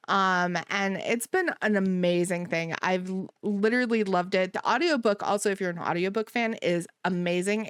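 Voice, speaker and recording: A woman's voice: mid-range voice, smooth, no echo, studio quality. A woman hosting a podcast, gushing with enthusiasm in casual chat. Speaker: podcast host.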